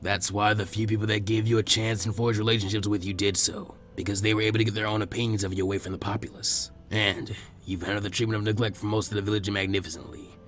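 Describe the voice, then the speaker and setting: A man voicing a wise, elderly village leader in fan fiction, grave and proud, speaking in measured, solemn phrases. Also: on the low side, level tone, fairly bright tone, soft background music, pitched below the performer's own voice, close to an everyday voice. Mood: proud, gentle. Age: older. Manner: measured, solemn, with weighty pauses. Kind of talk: acted dialogue in fan fiction audiobook.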